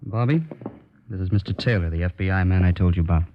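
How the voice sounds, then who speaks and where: Actor as a low-voiced blunt police sergeant. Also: male voice, fast talker, close to an everyday voice. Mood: firm, blunt. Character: gruff, firm adult police sergeant, fatherly but blunt. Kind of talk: old-time radio drama acting. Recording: muffled, noisy old radio recording.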